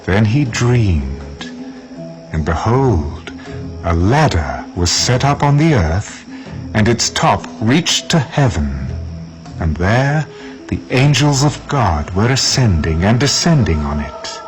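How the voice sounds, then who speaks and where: Expressive, low-voiced male scripture narrator. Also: soft background music. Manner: measured, storytelling.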